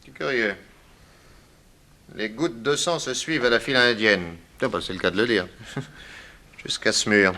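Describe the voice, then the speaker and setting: A man's voice: fairly low pitch, normal speed, long pauses, bright, forceful tone. A man playing a shrewd, probing police detective with a wry, insistent manner in a 1960s French radio drama. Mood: wry, suspicious. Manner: inquisitive, wry, casually insistent.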